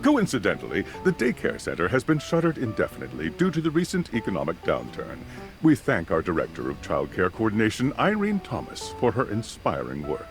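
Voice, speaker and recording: An actor voicing a smarmy corporate CEO who makes a cheerful company announcement laced with dry sarcasm, in a video game. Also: male voice, fairly low pitch, wildly swooping pitch, fairly quick, music in the background. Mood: cheery.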